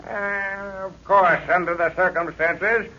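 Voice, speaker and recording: Old radio serial villain: gloating inventor, very slow and piercing delivery, chuckling menace, muffled vintage sound. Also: male voice, high-pitched, fairly even intonation, sharp tone, exaggerated voice. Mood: mocking triumph. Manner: mocking, gloating, slow and deliberate, chuckles often. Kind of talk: 1930s radio serial melodrama acting.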